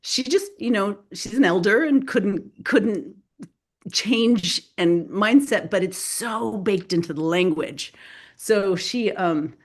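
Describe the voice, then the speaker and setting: A woman's voice: mid-range voice, slow. A woman whose first language is Spanish, a guest author talking loosely in an interview with many fillers and restarts. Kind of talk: casual spoken interview.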